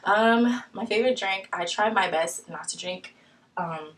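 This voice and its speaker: A woman's voice: mid-range voice, measured pace, fairly bright tone, distant mic. A young woman from Long Island, New York, with a light Long Island accent, chatting casually in her first get-to-know-me vlog, full of ums and restarts. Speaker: new YouTuber.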